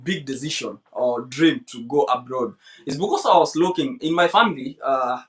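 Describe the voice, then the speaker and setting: A man's voice: mid-range voice, moderate pace. A man hosting an interview, speaking casually and reflectively with many fillers. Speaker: host.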